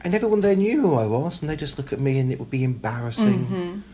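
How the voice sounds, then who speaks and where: A man's voice: a little high, big pitch swings, fast, warm tone. Garrulous British man, self-deprecating. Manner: hesitant, with repeated words and occasional stammering. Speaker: interview guest, writer. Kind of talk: casual interview conversation. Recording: clear background noise, room ambience.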